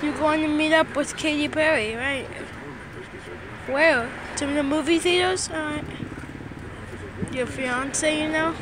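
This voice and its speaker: High voice, really slow playful chatting, repeats stuff, noisy phone clip in a car. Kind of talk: casual chat to camera. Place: inside a car, back seat.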